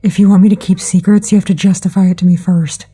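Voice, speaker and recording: A woman playing a literal-minded, blunt, unflappable officer in an audio drama, speaking in a deadpan way. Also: lowish voice, fast, slightly rough.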